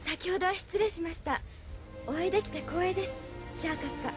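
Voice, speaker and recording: Very high-voiced, fervent young crewwoman. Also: fast talker. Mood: respectful, admiring. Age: young adult. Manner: polite, earnest, fervent. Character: eager, devoted young female crew member, idealistic admirer. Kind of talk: audio drama acting. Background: faint music.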